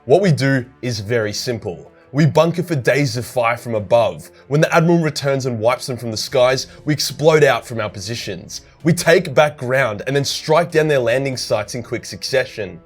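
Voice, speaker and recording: Man voicing a commanding, gruff major general. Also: medium pitch, expressive, normal speed, hardly any pauses, faint music behind, voice pushed up. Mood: commanding, resolute. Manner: authoritative, clipped orders. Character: commanding male major general, gruff, decisive military officer. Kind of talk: dramatised storytelling.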